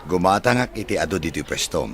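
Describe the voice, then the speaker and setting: Give me a smitten middle-aged bachelor with a very low bass voice. He is well-off, earnest and gullible, with an Ilocano accent and radio-drama delivery. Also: animated, fast, fairly bright tone. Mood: sheepish, courting.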